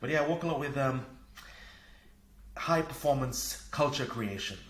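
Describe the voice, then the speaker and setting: A man from Fiji, a parenting coach and podcast guest, talking in an energetic, upbeat way. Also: medium pitch, moderate pace. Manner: energetic, upbeat, laughs easily. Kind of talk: casual conversation. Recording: echoey room.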